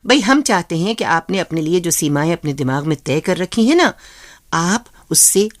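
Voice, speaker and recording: Lively low-pitched woman presenting radio. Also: moderate pace, dry recording, studio quality. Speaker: radio show co-host.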